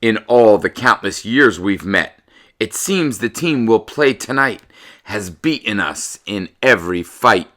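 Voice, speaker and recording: A middle-aged American man, a teacher speaking to young children with animated, exaggerated emphasis. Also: on the low side, unhurried. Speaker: teacher reading stories aloud to young pupils. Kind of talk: casual chat to children, then storybook read-aloud. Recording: echoey room, a bit far from mic.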